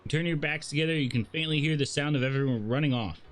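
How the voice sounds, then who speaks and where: A man's voice: fairly high pitch, fast talker. A man livestreaming a game, reading along and commenting casually in a playful, offhand way. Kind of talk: casual game commentary and read-aloud dialogue. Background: game music.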